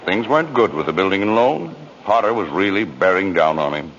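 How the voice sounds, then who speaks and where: An actor playing an authoritative senior heavenly spirit, narrating a life story in folksy radio drama style. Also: male voice, sits low, animated, a little fast, background noise. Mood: brisk storytelling. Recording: muffled, echoing vintage radio broadcast.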